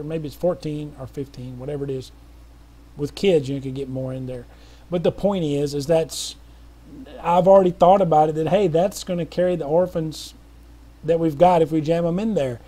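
A man's voice: fairly high pitch, warm tone. A middle-aged man speaking casually to viewers in an earnest, rambling way, with many restarts and fillers. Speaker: missionary making an appeal video. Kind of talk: casual spoken appeal to viewers.

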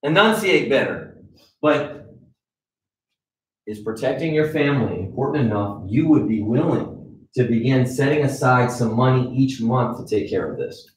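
A man's voice: medium pitch, moderate pace, a little hiss or hum. Man coaching a room, echoey and distant. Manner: direct, animated coaching with frequent "okay?" check-ins. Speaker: sales trainer. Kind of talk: live training session. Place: conference room with a wall screen. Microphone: far camera.

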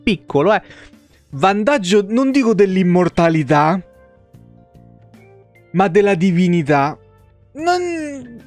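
A man's voice: high voice, very animated, takes it slowly, long pauses, darkish tone, soft background music. A young adult man from Italy chatting casually as a game streamer, rambling and swearing freely, on a close desk microphone in a home room. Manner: informal, rambling, profane; repeats words for emphasis. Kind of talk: casual livestream chat.

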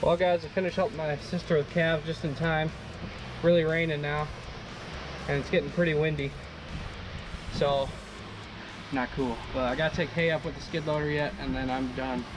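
A man's voice: a little high, fairly even intonation, moderate pace, pauses often, over music. A young man casually narrating his farm chores to viewers, filmed on an action camera in barns, a loader cab and outdoors in wind and rain. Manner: easygoing, chatty, addresses viewers as guys. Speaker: dairy farmer vlogger. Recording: loud wind and engine noise on camera mic. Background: loud wind, rain and machinery.

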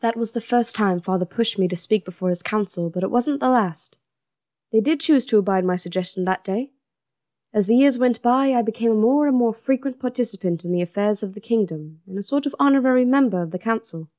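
A woman's voice: fairly high pitch, lively intonation, brisk, mellow, no room sound, clean studio sound, natural voice. A woman playing an earnest, thoughtful young princess in an audio drama, polite and articulate. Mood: reflective. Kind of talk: audio drama acting and first-person narration.

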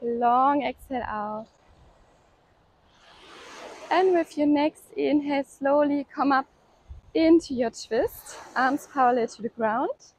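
A woman leading a home yoga workout, calmly cueing breaths and poses and encouraging the listener to keep going. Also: high voice, takes it slowly, pauses often, a little hiss or hum, low-quality recording. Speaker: yoga instructor. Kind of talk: guided workout instruction.

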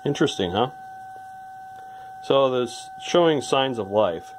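A man's voice: mid-range voice, expressive, slow, a little hiss or hum. A man giving casual, hands-on repair commentary as a hobbyist, thinking aloud in an informal way. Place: indoor workbench.

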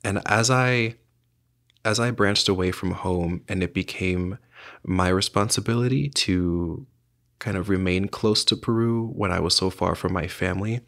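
Low, gravelly, hesitant young man. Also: measured pace, fairly bright tone. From south Florida. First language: Spanish. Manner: reflective, hesitant with many fillers. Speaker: guest, writer and visual artist. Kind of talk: podcast interview.

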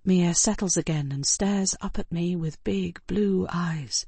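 Female audiobook narrator, lowish pitch, slightly husky edge, dramatic first-person storytelling in a dry studio sound. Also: measured pace, dark tone, no echo.

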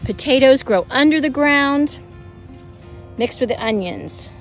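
A woman walks through a school garden with her phone, explaining to children what is growing. Her voice sits a little high, and she points things out in a friendly teaching manner. Faint music plays underneath. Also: lively intonation, unhurried. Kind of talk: outdoor garden tour for kids. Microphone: phone camera held by the speaker.

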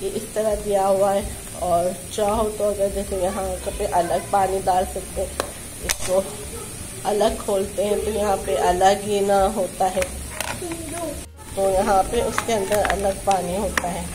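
A woman's voice: medium pitch, little pitch movement, measured pace. A woman in her thirties with a sore throat, chatting and laughing as she films her home on a phone. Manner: chatty, laughs often, talks to the viewers. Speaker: vlogger. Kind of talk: casual home vlog chat. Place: apartment by a window, rain outside. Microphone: handheld phone camera. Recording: phone recording with loud rain noise.

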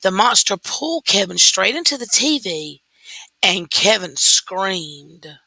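A woman teacher reading a story aloud slowly and deliberately into a computer microphone. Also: medium pitch, big pitch swings, sharp tone, husky, dry recording, poor audio. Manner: slow, deliberate read-aloud.

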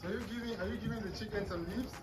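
A man chatting casually outdoors on a farm, briskly explaining things to the camera. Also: high voice, steady tone. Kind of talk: casual chat.

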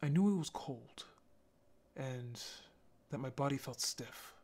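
A man plays an awkward young zombie guy in an intimate audio roleplay. He talks slowly in a mid-pitched voice, stammering and hesitating, shy and gentle with whoever he is talking to. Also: animated. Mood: somber, recounting.

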